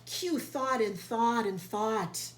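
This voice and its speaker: A woman reads a storybook to young children in the manner of a teacher, at a slow pace. She stops for short explanations and sounds out important words with care. Her voice has a medium pitch and rings in an echoey room, with faint noise behind it. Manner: didactic, enunciates and sounds out key words for children. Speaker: teacher reading a picture book aloud to young children. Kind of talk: read-aloud storytelling with explanatory asides.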